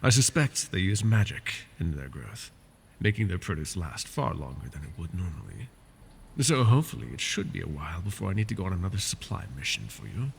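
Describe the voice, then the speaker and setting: A man voicing a wounded male dragon who keeps a human captive, soft-spoken and polite, often slipping into whispers, for an ASMR fantasy roleplay. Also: sits low, very animated, brisk, creaky, a little hiss or hum, exaggerated voice. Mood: tender. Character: wounded male dragon, possessive yet doting captor.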